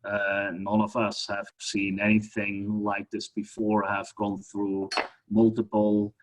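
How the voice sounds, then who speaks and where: A man's voice: on the low side, completely flat delivery, slow, poor audio. A middle-aged man from Switzerland giving a webinar presentation as a guest speaker, talking hesitantly with many fillers and restarts.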